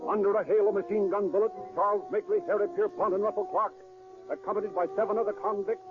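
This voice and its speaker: A man's voice: high-pitched, animated, fast. Male radio announcer, formal and emphatic, 1930s scripted delivery, tinny narrow-band recording, music behind.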